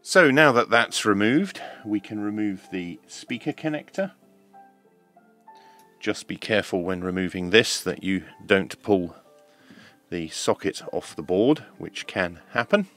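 A man presenting a hands-on electronics tutorial, explaining step by step in a measured way over background music. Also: lowish voice, lively intonation, a bit bright. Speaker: host of an electronics teardown channel.

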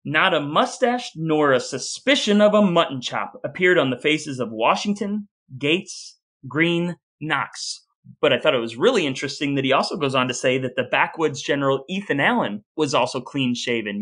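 A man's voice: medium pitch, pushed and bright. A man co-hosting a podcast, telling history informally and reading out quoted accounts. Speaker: podcast co-host.

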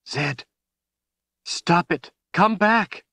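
A man's voice: sits low, moderate pace, pushed and bright, no room sound. A man voicing an anxious, caring woman in a fantasy audiobook, pleading and urgent. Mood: alarmed.

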